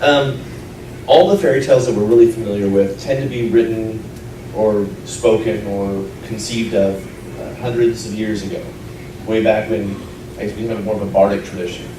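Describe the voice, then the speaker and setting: A man's voice: lowish voice, steady tone, moderate pace. A man casually moderating a live panel, with informal, hesitant phrasing. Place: convention panel room with audience. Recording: very echoey hall, distant, noisy.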